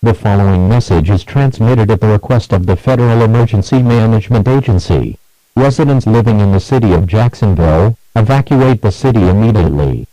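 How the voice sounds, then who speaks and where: A man reading a scripted emergency alert as an announcer, in a measured, even manner through lists and warnings. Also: lowish voice, no room sound, low-quality recording.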